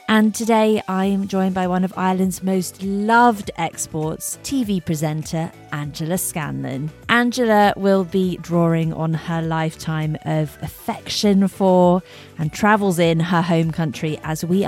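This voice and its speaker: Woman hosting a podcast, smooth upbeat delivery, soft music underneath. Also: lowish voice. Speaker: podcast host. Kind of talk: scripted intro then interview. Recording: faint music behind.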